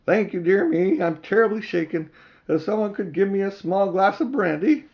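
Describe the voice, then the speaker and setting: A man voicing a feeble, shaken old gentleman in a storybook, speaking in a faint, polite, fussy manner. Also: sits high, normal speed, voice pushed up.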